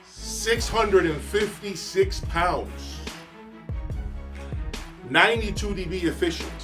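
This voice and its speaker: A man hosting an enthusiastic video review, speaking emphatically with dramatic pauses. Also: sits high, over music. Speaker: audio review channel host. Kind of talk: enthusiastic product review presentation to camera.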